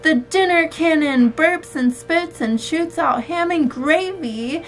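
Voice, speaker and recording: A woman in her thirties reading a storybook and chatting with a young child in an expressive, sing-song way. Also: high-pitched, slow pace, faint music behind. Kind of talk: storybook read-aloud and casual chat with a child. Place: small room at home, indoors.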